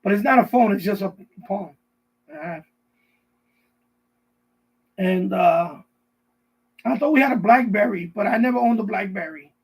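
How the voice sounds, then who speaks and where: A middle-aged man hosting a casual live stream, rambling and often repeating himself, with a husky voice. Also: sits high, a little slow, long pauses, low-quality recording. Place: basement.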